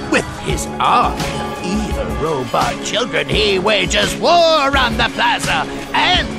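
Cartoon villain boss: maniacal mogul, very heavy rasping creak, pompous rants, loud music underneath. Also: male voice, extremely high pitch, exaggerated voice. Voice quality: gravelly. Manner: theatrical, ranting. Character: maniacal male supervillain business mogul, pompous and short-tempered.

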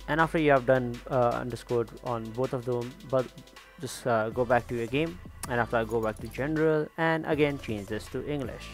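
A man with a mid-pitched voice gives a casual software tutorial as its host. He explains each step in turn and checks in with short tags. Music plays behind him. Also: normal speed. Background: background music.

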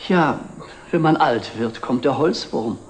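A middle-aged actor playing a wry, wistful antique dealer, formal and dry, in a 1960s TV drama. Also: male voice, mid-range voice, lively intonation, unhurried, lightly acted. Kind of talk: 1960s German television drama acting. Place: indoor antique shop set. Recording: noisy old TV film soundtrack.

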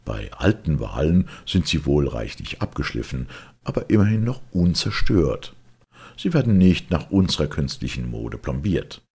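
A man narrates a classic audiobook in an extremely low voice. It is husky and rough, with a heavy rasp. His delivery is measured and expressive, as a storyteller reading literary prose.

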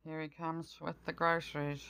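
A woman's voice: sits low, level tone, normal speed, vocal fry, pushed and bright, clean tone. A middle-aged woman casually listing items in short phrases for a home vlog, recorded on a handheld phone. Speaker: home vlogger. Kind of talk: casual grocery haul vlog. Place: indoors at home, at a table.

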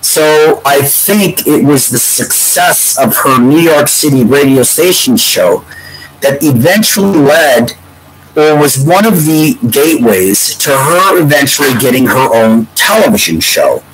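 A man in his forties with a congested, stuffy nose, rambling to a webcam from a room at home in a meandering, drawn-out way. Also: fairly high pitch, lively intonation, a crowd in the background, poor audio. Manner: meandering, drawn-out, breaks into humming a tune. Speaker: vlogger. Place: home room with bookshelves, indoors. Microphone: webcam at desk.

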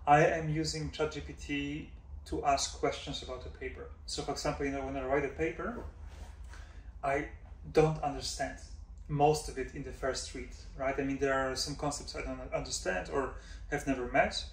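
A man's voice: mid-range voice, steady tone, normal speed. A man in his forties who grew up in Denmark, a professor answering interview questions thoughtfully, with many fillers and "right?" checks. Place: office room, indoors. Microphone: far camera mic. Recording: roomy echo from far off, faint hum.

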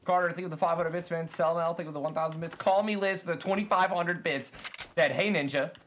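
A man's voice: a little high, steady tone, fast talker, a bit bright, slight background noise. Congested young man streaming a game. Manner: chatty, thanks viewers, bursts of frustration. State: a little congested. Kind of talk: casual live-stream chat while playing. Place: home streaming room, indoors.

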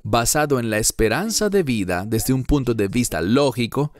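Man voicing an earnest, animated Bible teacher. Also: medium pitch, moderate pace, natural voice. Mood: earnest, emphatic. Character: earnest male Bible teacher in his fifties. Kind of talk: dubbed sermon, verse-by-verse teaching.

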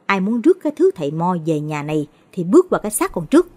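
A woman's voice: lowish voice, sing-song, fast talker, darkish tone. A woman reading the part of a stubborn middle-aged man who scorns shamans. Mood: angry.

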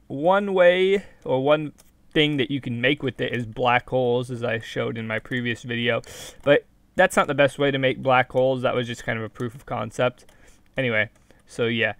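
A young man with a slightly hoarse voice from being sick, casually explaining a game build in a chatty, self-correcting way at his computer. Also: medium pitch, fairly even intonation, moderate pace, fairly bright tone, dry recording. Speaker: Minecraft video creator. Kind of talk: casual screen-recorded tutorial.

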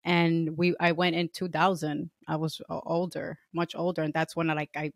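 A woman in her forties from New York, a podcast guest talking in a relaxed, unhurried way from home into a stand mic on a video call. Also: low voice, gravelly, no echo, studio quality. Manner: relaxed, unhurried, laughs easily. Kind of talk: casual interview conversation. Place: home room. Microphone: studio mic on a stand, headphones, video call.

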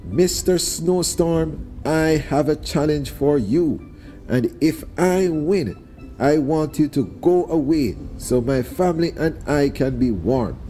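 A man shouting as a boastful little trickster spider. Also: slow, faint music behind, rough recording. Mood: challenging. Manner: shouting high and loud, stretched words.

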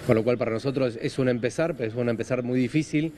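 A man in his forties with an Argentine accent, explaining things in a measured, formal way in a live news interview. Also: mid-range voice, darkish tone. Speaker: event hall business representative, interviewee. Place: on location at an event hall. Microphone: handheld microphone, through a face mask. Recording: faint background noise and ambience.

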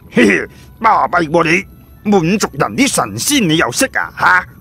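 A man voicing a brash, teasing, jolly adventurer sidekick in an audiobook, with a raspy voice and colloquial, exclamatory banter. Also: fairly high pitch, sing-song, unhurried, bright, forceful tone, voice pushed up, heavily acted. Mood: mocking. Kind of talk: audiobook character acting.

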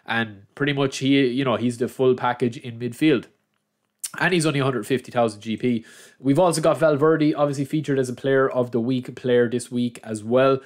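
A young man with a head cold that makes him a bit nasal, chatting through a screen-recorded football game guide and calling his viewers lads. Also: medium pitch. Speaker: gaming channel host. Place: at a computer, voice-over of screen recording.

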